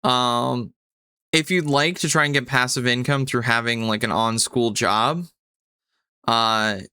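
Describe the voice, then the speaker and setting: Male game master, bright and smooth, very dry close sound, explains rules off the cuff. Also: medium pitch, steady tone, a little slow, no echo. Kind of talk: improvised tabletop game narration and rulings.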